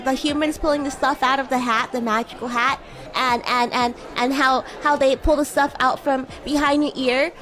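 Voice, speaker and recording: A woman voicing a bratty, playful young demon schoolgirl in chatty livestream roleplay, with a teasing, giggly, halting manner. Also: high-pitched, pushed and bright. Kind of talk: improvised in-character livestream roleplay chat. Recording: music and crowd murmur behind. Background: music and crowd ambience.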